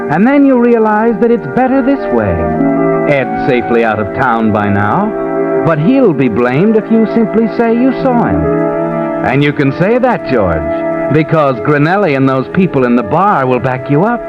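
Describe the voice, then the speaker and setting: An actor playing an ominous, taunting narrator in a 1950s radio suspense drama, with dramatic pauses. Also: male voice, mid-range voice. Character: ominous male narrator taunting a guilty man. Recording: dull old radio recording, loud music behind.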